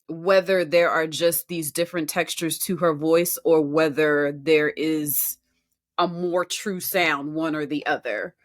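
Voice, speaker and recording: A woman co-hosting a music podcast, offering casual, measured and constructive commentary on singing. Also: deep voice. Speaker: podcast co-host, vocal critic.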